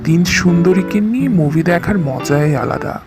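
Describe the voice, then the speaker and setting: A man's voice: a little high, lively intonation, normal speed, close to an everyday voice. A man playing a rich, womanising, harried company boss in a cartoon story. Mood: coaxing. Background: music.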